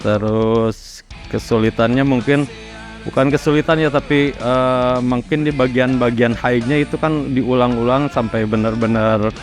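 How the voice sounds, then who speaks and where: A man from Indonesia, a rock singer, casually recalling his career in an interview in a halting, reflective way. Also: mid-range voice, fairly even intonation, soft background music. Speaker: rock vocalist being interviewed. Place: studio workroom.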